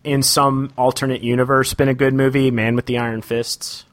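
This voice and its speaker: A man co-hosting a podcast, talking about films in an animated, opinionated way, often laughing and acting out quotes. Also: mid-range voice, moderate pace. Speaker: podcast co-host. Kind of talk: casual film discussion.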